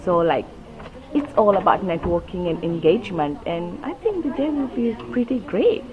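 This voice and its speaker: Enthusiastic woman, lower-pitched voice. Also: sing-song, normal speed, phone-quality sound. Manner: enthusiastic, upbeat. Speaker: workshop organiser being interviewed. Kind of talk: casual on-location interview. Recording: crowd chatter behind the voice. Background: crowd chatter.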